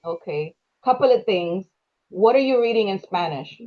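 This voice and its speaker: A woman's voice: mid-range voice, animated, normal speed. Blunt woman hosting a writing workshop. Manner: direct, blunt, no-nonsense advice. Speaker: workshop host. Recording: dull lo-fi call audio.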